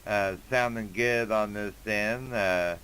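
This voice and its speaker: Smooth, slow-talking American man in his forties. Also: lowish voice, steady tone, bright, forceful tone. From Indiana, United States. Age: 40s. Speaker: amateur radio operator. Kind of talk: casual ham radio contact.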